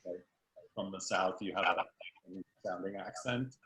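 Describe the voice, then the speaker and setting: A man tells a relaxed story over a video call. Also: low-pitched, measured pace. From Queens, New York. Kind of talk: casual video call chat.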